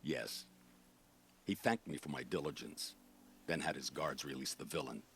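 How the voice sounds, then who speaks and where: Very deep, gravelly world-weary soldier. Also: male voice, moderate pace, fairly bright tone, a little hiss or hum, exaggerated voice. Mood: grim, regretful. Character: world-weary, bitter male soldier captain, disgraced but honorable. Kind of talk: dramatic video game acting.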